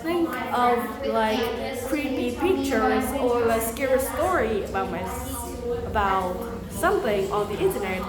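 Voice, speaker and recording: A woman from Vietnam with a Vietnamese accent, answering mock speaking exam questions in a hesitant, halting way, full of fillers. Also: fairly high pitch, lively intonation. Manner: hesitant, halting, many fillers like "you know". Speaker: test candidate. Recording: echoey room with loud crowd.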